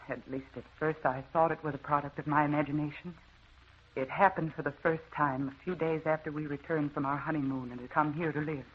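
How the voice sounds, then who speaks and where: An actress playing a tragic, haunted wife in an old radio drama, speaking with tender, earnest melodrama. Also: female voice, sits low, close to an everyday voice. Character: tragic, haunted young wife with a mysterious past. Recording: muffled, noisy old radio recording.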